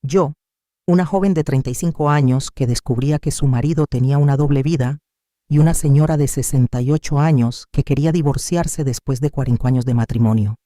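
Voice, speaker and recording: Narrator, sex ambiguous, mid-pitched and deeply mellow; measured, sentimental first-person storytelling. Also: no echo, clean studio sound.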